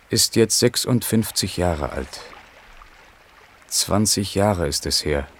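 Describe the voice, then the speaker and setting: Sober knowing companion, radio play, deep voice, slow, matter of fact. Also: male voice, a little hiss or hum, exaggerated voice. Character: sober, knowing male companion recalling a sick poet. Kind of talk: German radio play acting.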